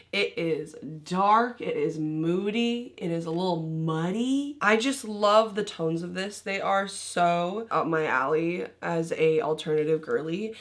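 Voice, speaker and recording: A young woman with a hoarse, sick voice, chatting casually through a makeup review, laughing often and going off on tangents. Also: fairly low pitch. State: hoarse from illness. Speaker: beauty vlogger.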